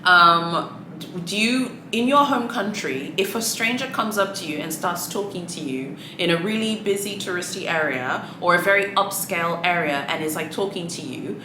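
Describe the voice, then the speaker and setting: Swahili-native woman vlogger, low voice, chatty and sassy, echoey room with audible noise. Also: moderate pace. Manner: chatty, many fillers, sassy asides. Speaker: luxury shopping vlogger. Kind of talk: casual chat to camera.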